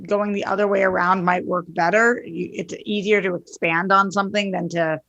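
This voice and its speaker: Female podcast panelist with gravelly fry, casual and opinionated, loose with profanity, recorded dry. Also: medium pitch, steady tone, normal speed, fairly bright tone, no room sound, highs cut off. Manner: informal, sweary.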